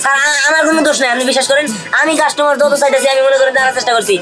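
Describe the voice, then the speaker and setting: A woman's voice: sits high, steady tone, racing, close to an everyday voice. A woman playing a blunt, argumentative, sarcastic shop assistant in a comic short drama, with a Bangladeshi accent. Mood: pleading. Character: quarrelsome, blunt shop assistant, haggling and blaming others. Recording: loud music behind the dialogue.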